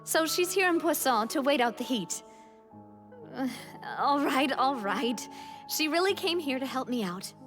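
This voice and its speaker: A young woman leader speaks in a high, friendly voice. She sounds earnest and resolute, in polished game dialogue with soft music. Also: expressive, measured pace. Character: warm, resolute young woman leading a rebuilding community. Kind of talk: video game voice acting.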